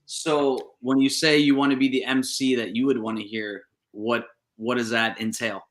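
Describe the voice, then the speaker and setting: A man's voice: mid-range voice, little pitch movement, moderate pace. A man hosting a casual interview over a video call, asking long, rambling questions with fillers and laughs. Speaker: podcast host. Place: indoor room.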